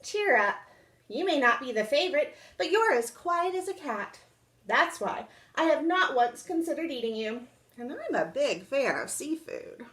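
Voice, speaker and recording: A woman's voice: high voice, very animated, a little slow, fairly bright tone, roomy sound, voice pushed up, full character voice. A woman voicing a proud, self-important old male cat in a children's storybook, speaking formally and condescendingly. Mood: condescending consolation. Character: proud, smug, oldest male house cat.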